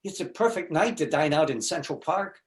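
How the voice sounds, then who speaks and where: A man's voice: on the high side, lively intonation, normal speed. A man in a comedy reading, playing a neurotic, insecure widower who stammers and frets about dating. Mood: nervous excitement. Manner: stammering, anxious, self-deprecating. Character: neurotic, insecure, fretful widower facing a date. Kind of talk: comedy readers theater.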